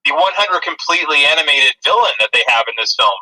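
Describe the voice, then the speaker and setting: A man with a fairly high, extremely bright and sharp voice co-hosts a film podcast. His talk is casual and jokey, and the sound is thin, like a radio or phone. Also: lively intonation, quick pace, poor audio. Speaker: podcast co-host.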